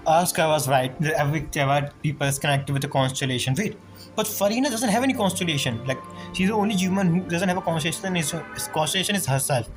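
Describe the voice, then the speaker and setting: A man giving casual, halting commentary on a video game, musing aloud as he plays. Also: fairly high pitch, over music, rough recording. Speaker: gameplay streamer.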